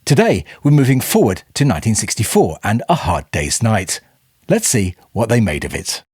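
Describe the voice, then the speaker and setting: Lively, dry-sounding male narrator. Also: lowish voice, moderate pace, husky, dry recording. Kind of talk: scripted voice-over narration.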